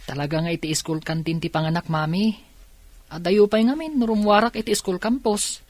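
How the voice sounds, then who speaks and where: A woman's voice: fairly low pitch, moderate pace, a little mellow, dry recording, natural voice. A woman playing a polite school student in an audio drama. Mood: polite, explaining. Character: school student, polite child of a watchful mother.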